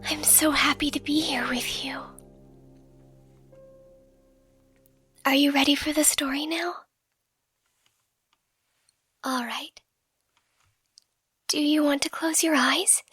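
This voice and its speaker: A woman voicing an affectionate catgirl girlfriend in a soft, breathy ASMR bedtime roleplay, gentle and reassuring. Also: sits high, fairly even intonation, no room sound. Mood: calm, intimate. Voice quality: soft, breathy, often whispered. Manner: tender, gentle, unhurried, reassuring. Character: affectionate catgirl girlfriend soothing her partner to sleep. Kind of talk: ASMR bedtime roleplay and slow storybook reading. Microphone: close microphone. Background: soft music.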